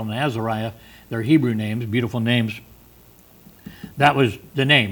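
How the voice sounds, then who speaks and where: A man's voice: medium pitch, a bit bright. A man teaching a Bible study, explaining verses in an unscripted, conversational way with many fillers and restarts.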